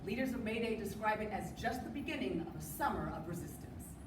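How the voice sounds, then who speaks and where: A woman's voice: mid-range voice, expressive, quick pace. A young adult woman delivering a rehearsed, dramatic readers theater speech as a student performer. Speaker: readers theater performer on a college forensics team. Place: indoor room, live stage performance. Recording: echoey room from far off, noisy.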